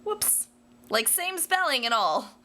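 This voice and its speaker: A woman's voice: high-pitched, very animated, slow pace, pushed and bright. A young American woman talking casually to viewers like a video diary, rambling in a playful, self-deprecating way. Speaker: vlogger.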